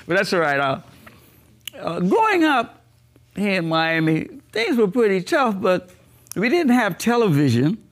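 A man in his eighties, born in the Bahamas, telling his life story slowly and reflectively in an oral history interview. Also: sits high, huge pitch swings. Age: 80s.

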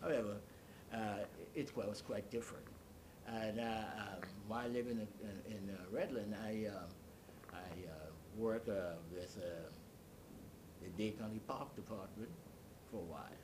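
An older man giving an oral history interview, telling his story haltingly and searching for words. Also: steady tone. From Bahamas. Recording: clearly noisy, low rumble behind.

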